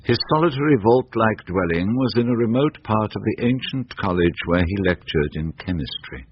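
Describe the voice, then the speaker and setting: An actor with an English accent playing a solemn male Victorian author narrating a radio drama in a measured, literary way. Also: on the low side, animated, slightly rough. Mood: solemn, ominous. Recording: dull lo-fi radio recording, faint noise.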